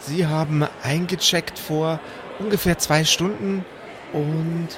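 A man's voice: fairly high pitch, steady tone, slow pace, noisy background. A man playing a polite female receptionist in a tabletop game, formal and a little hesitant. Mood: polite, helpful.

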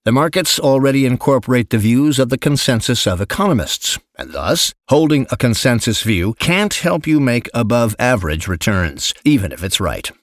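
A man reading an essay aloud as scripted narration, measured and articulate, with emphatic phrasing. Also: medium pitch, sing-song, no room sound, clean studio sound. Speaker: narrator reading an investment memo.

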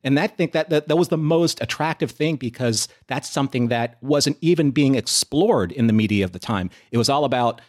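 Male American panelist, medium pitch, thoughtful explainer in an unscripted group discussion. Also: expressive, fairly quick, few pauses, a little mellow. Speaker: podcast panelist. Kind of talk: conversational discussion.

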